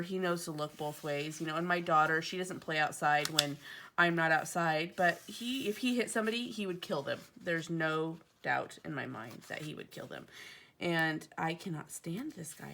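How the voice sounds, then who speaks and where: Casual home vlogger, woman in her thirties; gravelly, slightly stuffy, wanders off topic. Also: fairly low pitch, a bit bright, a little hiss or hum. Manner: chatty, rambling, digresses often. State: stuffy from allergies. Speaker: planner vlogger. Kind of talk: casual chat while decorating a planner. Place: home, indoors.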